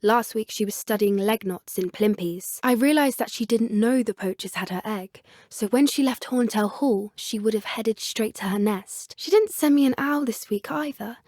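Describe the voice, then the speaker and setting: A woman's voice: fairly high pitch, moderate pace, few pauses, dry recording, studio quality, close to an everyday voice. A woman with an English accent playing an earnest, plucky teenage schoolgirl witch who loves creatures, speaking politely in video game dialogue. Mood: earnest, thoughtful. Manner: polite, earnest, softly eager. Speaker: video game character voice actor.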